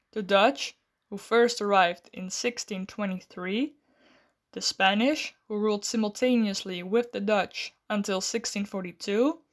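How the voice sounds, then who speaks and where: A woman host giving a scripted, measured explanation and then asking interview questions. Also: medium pitch.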